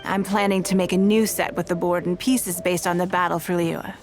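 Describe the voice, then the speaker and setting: A woman voicing an elegant, shrewd and courteous businesswoman in scripted video game dialogue, speaking in a poised, measured and articulate way. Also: lowish voice, fairly even intonation, close to an everyday voice. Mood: thoughtful, proud. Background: background music.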